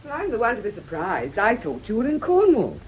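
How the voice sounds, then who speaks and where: A woman's voice: on the high side, wildly swooping pitch, fairly quick, a little hiss or hum, low-quality recording. An actress playing a reserved but intense woman in a radio drama, polite and restrained. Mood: composed, curious. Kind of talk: BBC radio drama acting.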